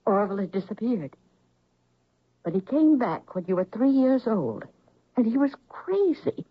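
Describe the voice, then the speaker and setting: A woman's voice: a little high, very animated, measured pace, slightly rough, full character voice. Bitter mother, muffled radio. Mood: anguished, confessing. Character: bitter middle-aged mother exposing a painful family secret. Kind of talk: radio drama acting. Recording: muffled old radio broadcast.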